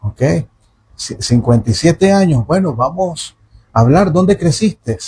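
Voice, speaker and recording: A man's voice: on the high side, lively intonation, measured pace, mellow, slight background noise. A man hosting a radio interview, who introduces his guest in an effusive, formal way. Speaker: radio host.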